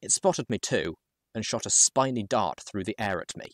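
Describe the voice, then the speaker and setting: A man with a low voice narrates a story in the first person. His delivery is dramatic and measured, with deliberate pauses between phrases. The recording is dry, with very little room sound. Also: lively intonation, no echo.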